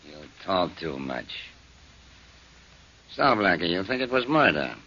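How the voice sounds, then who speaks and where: A surly, curt gambling-joint boss in a 1940s radio drama. His voice is low but bright and lively in pitch, and he answers defensively. The recording sounds dull, like an old broadcast. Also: measured pace, exaggerated voice.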